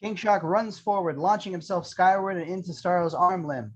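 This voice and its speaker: A man's voice: high-pitched, normal speed, slightly rough. A man reading screenplay action lines as scripted narration at an amateur table read. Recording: dull lo-fi sound.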